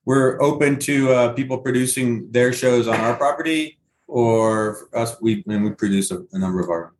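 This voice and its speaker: A man speaking as a podcast guest, relaxed and matter-of-fact. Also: mid-range voice, normal speed. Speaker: guest, brewery co-founder. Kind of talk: interview answers. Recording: roomy sound with faint noise and clicks.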